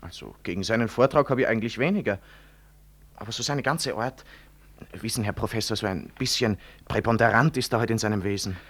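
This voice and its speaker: An actor playing a deferential yet callous junior hospital doctor in a 1960s radio drama, with a hesitant, colloquial manner. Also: male voice, fairly low pitch, brisk, fairly bright tone. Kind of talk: 1960s German-language radio drama acting.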